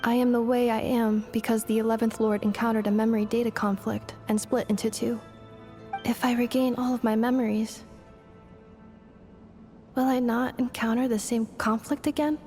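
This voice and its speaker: A woman voicing an earnest, analytical female robot in a video game, speaking in formal, measured phrases. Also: on the high side, steady tone, darkish tone, soft background music, close to an everyday voice. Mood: troubled.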